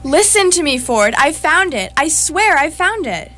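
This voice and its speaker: A woman playing an excitable, impatient, brash male spaceship captain in a radio comedy drama. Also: high voice, a little slow, faint music behind, exaggerated voice. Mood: insistent, excited.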